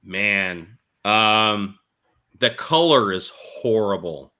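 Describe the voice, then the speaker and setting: Man telling stories in a bright, forceful voice. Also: fairly low pitch, expressive, extremely slow. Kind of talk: casual storytelling chat.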